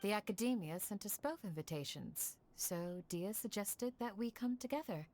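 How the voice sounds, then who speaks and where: A woman playing a calm, gentle, dignified young village guardian in an anime game, speaking in a composed and polite manner. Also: mid-range voice, normal speed, a little hiss or hum, natural voice. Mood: composed, modest.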